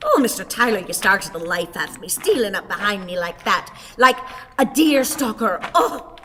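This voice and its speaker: A woman's voice: mid-range voice, big pitch swings, moderate pace, sharp tone. Woman playing a querulous old wife, swooping and reverberant. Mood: startled, exclamatory. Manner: theatrical, complaining, exclamatory. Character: weary, querulous old Irish wife, gloomy and dramatic. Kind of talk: radio play acting. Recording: hissy, reverberant hall recording.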